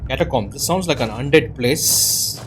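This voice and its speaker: A man's voice: mid-range voice, expressive, moderate pace, a bit bright, soft background music. A man doing casual let's play commentary as host, thinking aloud hesitantly. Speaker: gaming channel host.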